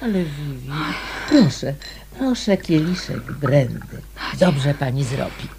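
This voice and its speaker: A woman's voice: deep voice, sing-song, takes it slowly, gravelly, background noise, heavily acted. A woman in a radio drama playing a kindly amateur sleuth, patiently coaxing and probing. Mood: soothing.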